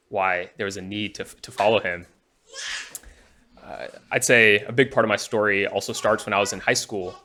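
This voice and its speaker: A young man from Alabama in the United States giving a personal testimony, often halting and restarting his phrases. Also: fairly low pitch, bright, forceful tone. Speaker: church member giving testimony.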